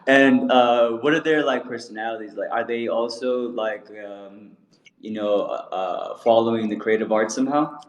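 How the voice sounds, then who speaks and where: A man's voice: mid-range voice, normal speed. A man hosting a casual interview, laid-back and slangy, with plenty of fillers. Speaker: podcast host. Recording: roomy echo.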